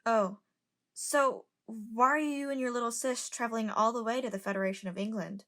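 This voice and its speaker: A woman's voice: on the high side, normal speed, fairly bright tone, close to an everyday voice. A woman voicing a casual, slightly awkward young passenger in an amateur audio drama.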